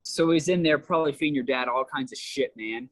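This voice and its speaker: A man's voice: on the high side, fairly quick, fairly bright tone, lightly acted. A man reading the part of a friendly, talkative teenage boy new at school, in a table read over a video call. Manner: easygoing, chatty, slangy.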